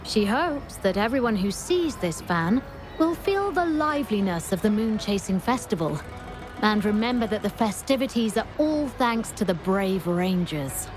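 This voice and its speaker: A woman's voice: mid-range voice, natural voice. A woman as a courteous festival stallkeeper, music behind. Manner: polite, welcoming, explanatory. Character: courteous female fan-painting vendor at a festival. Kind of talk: scripted video game voice acting. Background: game music.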